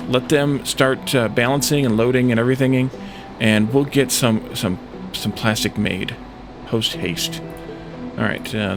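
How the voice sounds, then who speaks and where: Gravelly man talking through a game. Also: mid-range voice. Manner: thinking aloud, musing through problems. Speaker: video game let's play host. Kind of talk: casual gameplay commentary. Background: background music.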